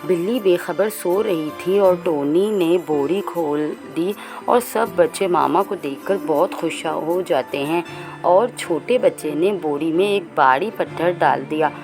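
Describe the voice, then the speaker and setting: A woman tells a children's tale in scripted narration. Her voice is on the low side and her pace is slow and measured, with music playing faintly behind. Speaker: storyteller.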